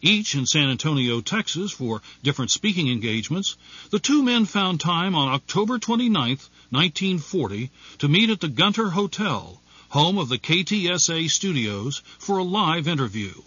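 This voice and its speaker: A man's voice: on the high side, very animated, normal speed, sharp tone, breathy edge, no room sound, muffled recording. A man delivering scripted documentary narration. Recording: dry but slightly band-limited.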